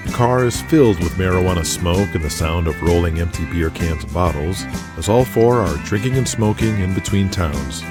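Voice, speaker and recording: A man giving steady, matter-of-fact scripted narration for an audio drama. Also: sits low, moderate pace, hardly any pauses, loud music behind the voice.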